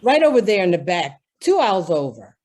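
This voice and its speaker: A woman's voice: mid-range voice, very animated, brisk, a little mellow. A woman playing a brusque, self-important male store floor walker in a radio drama, curt and haughty. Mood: curt impatience. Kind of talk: old-time radio drama acting.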